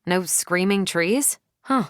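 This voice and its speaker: A woman's voice: on the low side, slow, dry recording, clean studio sound. A woman playing a self-assured, wry superheroine and space pilot with an American accent, in conversational video game lines. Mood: wry teasing.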